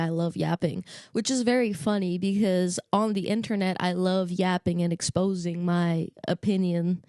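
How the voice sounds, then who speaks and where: A woman's voice: medium pitch, a little mellow, no echo, studio quality. Chatty rambling young woman vlogger. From Brazil. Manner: chatty rambling, self-mocking, jumps between tangents. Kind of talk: casual storytime chat to camera.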